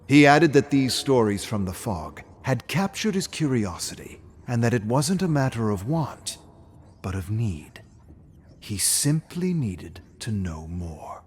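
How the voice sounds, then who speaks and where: A man narrating a scripted fairy tale as a storyteller, with a dramatic, measured delivery. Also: mid-range voice, slight background noise.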